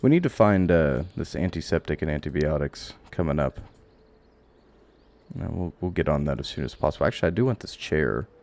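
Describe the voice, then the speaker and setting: A man giving casual gameplay commentary, thinking aloud in a halting, rambling way and swearing now and then. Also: very deep voice, expressive, gravelly, darkish tone. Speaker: game streamer. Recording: audible background noise, clicks.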